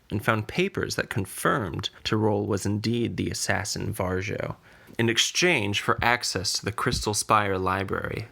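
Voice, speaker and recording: A man's voice: on the low side, gravelly, pushed and bright. A man reading scripted recap narration as the narrator of a fantasy podcast.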